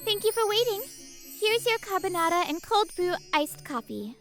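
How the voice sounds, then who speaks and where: Modest, cool-mannered young café girl, acted by a woman; high voice, courteous manga-drama delivery. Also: very animated, moderate pace, a bit bright, faint music behind, exaggerated voice. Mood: polite, bright. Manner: polite, reserved. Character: cool, modest, beautiful college freshman girl working at a café. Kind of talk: manga story character acting.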